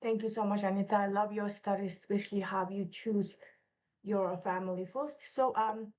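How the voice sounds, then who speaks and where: A woman's voice: medium pitch, steady tone, moderate pace, darkish tone, poor audio. A woman hosting a live online interview, welcoming and thanking her guests often. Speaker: interview host.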